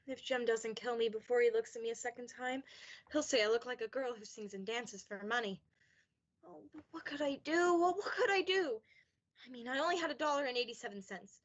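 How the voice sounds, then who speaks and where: A woman's voice: fairly high pitch, brisk, close to an everyday voice. A young woman playing a devoted, anxious young wife in a radio play, tender and pleading. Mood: anxious, self-mocking. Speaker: student actor in a high school radio play. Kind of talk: stage-like radio play acting. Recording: dull lo-fi recording.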